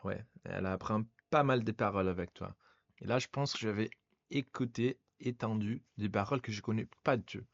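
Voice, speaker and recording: Podcast host, male, non-native French speaker, low voice, halting delivery, dry muffled mic. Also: creaky, dry recording. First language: not French. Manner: halting, searching for words. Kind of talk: casual conversation.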